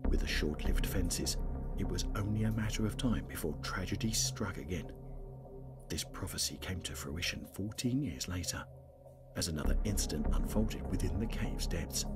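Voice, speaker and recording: Deep-voiced guy narrating a dark true story, slow and grave, quiet music behind. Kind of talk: scripted documentary narration. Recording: faint music bed under voice-over.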